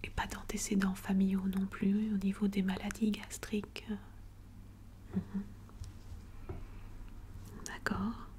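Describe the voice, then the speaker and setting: A woman speaks in a soft, breathy whisper, playing a gentle, reassuring doctor in an ASMR roleplay with hesitant pauses. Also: mid-range voice, monotone, a little hiss or hum. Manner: gentle, reassuring, attentive bedside manner.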